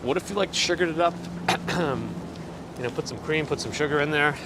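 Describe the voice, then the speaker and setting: A man's voice: fairly high pitch, brisk. A man strolls down a city street at sunrise and chats easily, reading out viewers' messages and answering them as he goes. His voice is recorded on a phone on a handheld gimbal, with heavy traffic and street noise all around him. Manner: relaxed, conversational, reads out and replies to chat messages. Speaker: live-stream walking host. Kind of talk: casual chat answering live viewer comments while walking. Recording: loud street noise outdoors.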